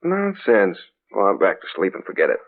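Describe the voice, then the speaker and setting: An actor playing a sensible, skeptical husband in a vintage radio horror drama. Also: male voice, sits low, huge pitch swings, a little fast, gravelly, full character voice. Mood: dismissive, soothing. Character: skeptical, reassuring husband, gradually unsettled. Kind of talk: 1940s radio drama acting. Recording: tinny old radio recording.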